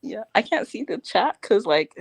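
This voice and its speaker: Woman guest on a stream chat, giggly and warm, drifts off mid-thought. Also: mid-range voice, very animated, normal speed, gravelly, breathy edge. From Barbados. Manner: bubbly, laughs often, trails off mid-sentence. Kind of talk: casual live-stream chat.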